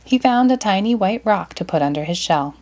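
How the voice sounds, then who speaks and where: A woman narrating a children's picture book aloud in an expressive, deliberate way. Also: medium pitch.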